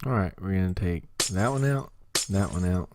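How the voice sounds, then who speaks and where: A man with a slightly hoarse throat giving easygoing, casual commentary on his own gameplay and talking himself through each task. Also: low voice, normal speed, noisy background. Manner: easygoing, talks to himself while playing. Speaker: gaming channel host.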